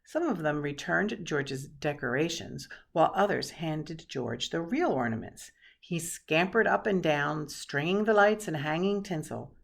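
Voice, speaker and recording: A woman reading a children's picture book aloud expressively, with dramatic pauses and hushed asides. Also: low-pitched, expressive, gravelly. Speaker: storybook reader.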